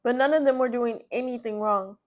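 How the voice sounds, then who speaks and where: Expressive woman reading aloud for children. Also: fairly high pitch, fairly quick. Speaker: children's read-aloud host, librarian. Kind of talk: children's storybook read-aloud with character voices and asides.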